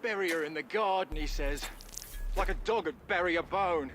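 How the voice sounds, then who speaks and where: An actor playing a jittery, aggrieved lower-class Victorian man with a London accent in a video game. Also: moderate pace, a bit bright, a little hiss or hum, lightly acted. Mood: aggrieved, fearful. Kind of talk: video game cutscene acting.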